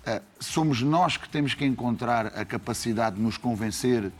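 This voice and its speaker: A man with a European Portuguese accent, a football coach reflecting deliberately on his work in an interview. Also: mid-range voice, faint music behind. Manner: measured, deliberate explaining.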